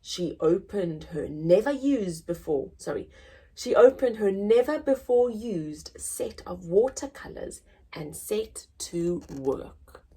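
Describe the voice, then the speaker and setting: A woman hosting a children's story time, reading aloud in an expressive, deliberate, sing-song way for small children. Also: mid-range voice, slightly rough. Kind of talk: animated read-aloud for young children.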